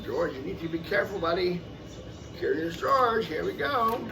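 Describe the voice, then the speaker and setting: A man's voice: high voice, expressive, unhurried, gravelly. A man reading a children's picture book aloud expressively, adding casual asides and repeating phrases for young listeners. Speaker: storyteller. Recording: noisy, picked up from a distance.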